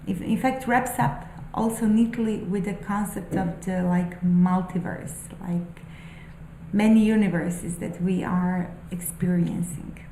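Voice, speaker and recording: Slow, mellow-voiced woman musing aloud. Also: medium pitch, steady tone, roomy sound, a little hiss or hum, rough recording. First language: not English. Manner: thoughtful, halting, many ums and restarts. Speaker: spiritual vlogger. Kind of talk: unscripted musing monologue.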